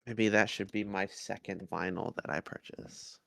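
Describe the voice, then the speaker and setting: Male podcast co-host, conversational, with noticeable vocal fry and a dry, close sound. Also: lowish voice, steady tone, moderate pace, bright, forceful tone, no room sound. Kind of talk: casual review podcast chat.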